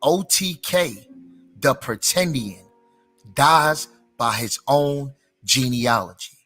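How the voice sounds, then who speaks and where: A man hosting his channel, giving commentary in a slow, emphatic, drawn-out way. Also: medium pitch, expressive, bright, forceful tone, faint music behind. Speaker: channel host.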